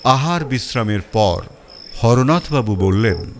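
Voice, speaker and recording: A man's voice: mid-range voice, lively intonation, slow, a little hiss or hum. A man narrating a first-person detective story in a storytelling style.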